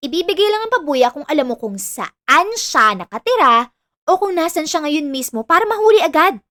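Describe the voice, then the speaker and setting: Money-hungry younger sister played by a woman: high-pitched, sassy, bossy, sarcastic; dry audio drama sound. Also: big pitch swings, normal speed, bright, forceful tone, dry recording, exaggerated voice.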